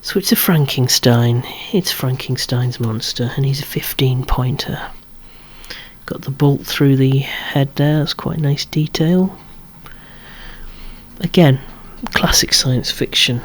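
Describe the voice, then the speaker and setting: A softly whispering collector presenting a toy collection ASMR-style, in a rambling, chatty way with lightly wry asides. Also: mid-range voice, takes it slowly. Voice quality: breathy, hushed whisper.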